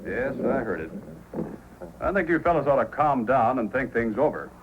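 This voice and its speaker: An actor playing a calm, fair-minded Mountie sergeant in an old-time radio drama, measured and conciliatory. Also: male voice, mid-range voice, lightly acted. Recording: muffled old radio recording, faint noise.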